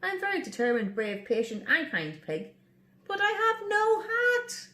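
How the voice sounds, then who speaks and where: A woman voicing a small, determined and excitable boy piglet in a story reading. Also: extremely high pitch, a little slow, reverberant, pitched above the performer's own voice, exaggerated voice. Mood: proud.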